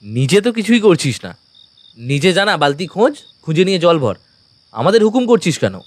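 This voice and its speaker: A man's voice: fairly high pitch, big pitch swings, moderate pace. An actor playing an anxious, pleading young man in an audio ghost story. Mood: indignant. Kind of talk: audio drama dialogue in a Bengali ghost story.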